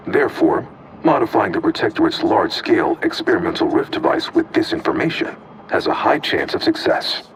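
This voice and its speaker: A man voicing a calm, formal male archive computer intelligence in a game, speaking precisely. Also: on the low side, fairly quick, recorded from a distance, a little hiss or hum, rough recording. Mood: measured.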